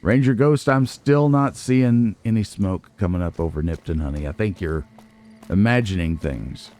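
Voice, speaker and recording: A man's voice: low-pitched, moderate pace, a little mellow. A man hosting a let's play, chatting casually and playfully to himself and viewers with folksy, wry asides. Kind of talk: casual game commentary, talking to himself and the viewers.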